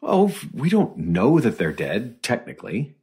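A man's voice: medium pitch, huge pitch swings, measured pace, darkish tone, slightly rough, exaggerated voice. A man playing an earnest government researcher in an audio drama, hesitant, fumbling and apologetic in a natural style. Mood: cautious.

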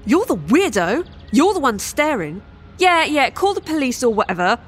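A woman's voice: high voice, sing-song, normal speed, breathy edge, soft background music, heavily acted. An actress playing a hostile, sweary, defiant woman in an audio drama, brash and confrontational.

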